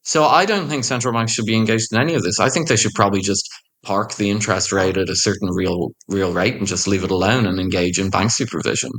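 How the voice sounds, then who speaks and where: A man's voice: lowish voice, fast, fairly bright tone. A man speaking as a panel guest, giving blunt, opinionated views in a discussion. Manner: blunt, opinionated, pushes his points hard.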